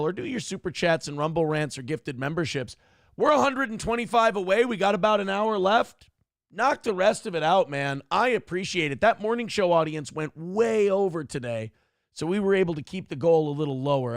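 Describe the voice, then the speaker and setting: Gravelly, lively-voiced male streamer. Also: a little high, moderate pace, dry recording, clean studio sound. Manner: profane, reads donor messages aloud. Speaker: streamer and show host. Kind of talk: casual livestream commentary.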